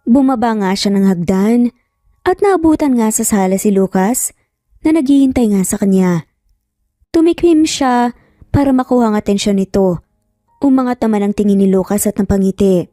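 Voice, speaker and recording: Bright-toned Filipino woman storyteller, music behind. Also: medium pitch, expressive, normal speed, long pauses, dry recording, clean studio sound. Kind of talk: scripted romance story narration. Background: background music.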